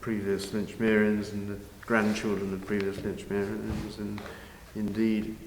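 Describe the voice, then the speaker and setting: An older man answering interview questions informally, in a measured, halting way with pauses. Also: lowish voice, completely flat delivery. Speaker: interviewee, village pantomime organiser. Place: large village hall, indoors. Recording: very echoey hall, faint noise.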